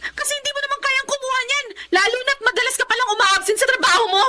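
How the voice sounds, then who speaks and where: Woman acting a shrill, betrayed Filipino wife. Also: steady tone, fast, pushed and bright, heavily acted. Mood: bitter, angry. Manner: tearful, scolding, dramatic. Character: betrayed wife, hurt, indignant, emotional. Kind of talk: Filipino melodrama radio-style acting.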